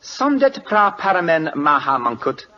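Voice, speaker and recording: A man's voice: on the high side, very animated, moderate pace, sharp tone. An actor playing an imperious, inquisitive King of Siam in a radio drama, with a put-on Siamese accent and pompous, clipped broken English. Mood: curious, grandiose. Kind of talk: 1940s American radio acting. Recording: muffled old-time radio recording.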